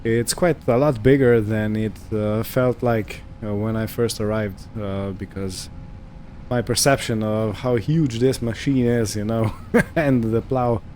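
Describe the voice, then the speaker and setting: A man's voice: fairly low pitch, steady tone, unhurried, a little mellow, slight background noise. A man giving casual, musing gameplay commentary, hesitant and thinking aloud as he goes. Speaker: gaming video host.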